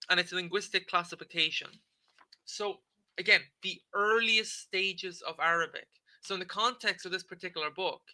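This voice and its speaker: A man's voice: sits high, bright, forceful tone. A man hosting a livestream, explaining a text in a casual lecture and often checking in with "okay?". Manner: conversational, frequent "okay?" checks and filler "um". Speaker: livestream debate host.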